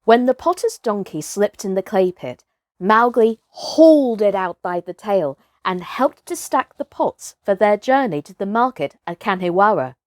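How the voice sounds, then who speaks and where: Woman, expressive children's storyteller, slow pace, animated intonation, dry close recording. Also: medium pitch, no echo. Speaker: storyteller, actress.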